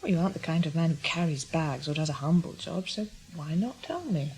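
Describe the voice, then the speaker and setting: Earnest, searching lover. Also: female voice, deep voice, lively intonation, brisk, darkish tone, poor audio. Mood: probing. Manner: candid, reflective. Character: earnest, searching British woman, spy's lover. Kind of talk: naturalistic radio drama acting.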